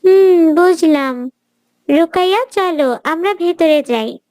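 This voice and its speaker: A woman's voice: very high-pitched, slow pace, darkish tone, dry recording, clean studio sound. A woman voicing a pious, kindly little girl in a children's cartoon, speaking in an earnest, preachy way. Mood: earnest advising. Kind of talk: children's moral cartoon voice acting.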